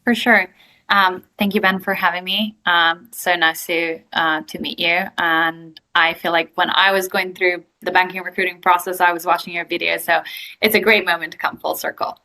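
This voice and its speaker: A young woman from Italy speaks with a clear Italian accent. Her voice sits a little low but has a bright, forward edge. She talks in a relaxed, chatty way, filling pauses with ums and likes. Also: rough recording. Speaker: guest, growth equity investor. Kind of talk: casual interview answers.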